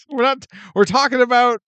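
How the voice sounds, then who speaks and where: A man co-hosting a casual film review chat, animated and jokey, often slipping into mock voices. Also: very high-pitched, a little slow, smooth, dry recording. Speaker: podcast co-host.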